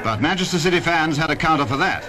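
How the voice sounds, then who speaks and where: Male British newsreel commentator, 1950s style: higher-set voice, formal clipped announcing over prominent music. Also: lively intonation, fast talker. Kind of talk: 1950s newsreel scripted sports narration. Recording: vintage 1950s newsreel, loud music behind. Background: loud music.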